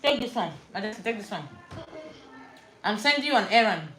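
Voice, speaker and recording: A woman from Nigeria with a Nigerian accent, chatting casually on a live stream from home. She tells stories in an animated, chatty way and drops pidgin slang. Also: mid-range voice, moderate pace, music in the background. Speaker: livestream host.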